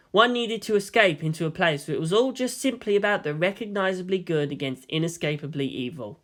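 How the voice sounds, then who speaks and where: A man's voice: fairly high pitch, a bit bright. A man reading a story aloud as scripted narration. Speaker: fan fiction reader.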